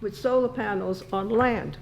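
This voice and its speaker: A woman speaking as a resident at a town meeting, choosing formal words in a halting way. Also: medium pitch, creaky. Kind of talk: public comment at a meeting. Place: indoor town board meeting room. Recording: echoey meeting room with background noise.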